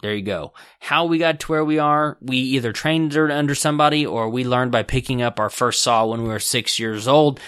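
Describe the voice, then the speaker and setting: A man hosting a business podcast, explaining website setup in a casual, conversational way with folksy asides and quick self-corrections. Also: medium pitch, fairly bright tone, clean tone, no echo, studio quality. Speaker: podcast host and business author. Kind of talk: casual instructional podcast talk.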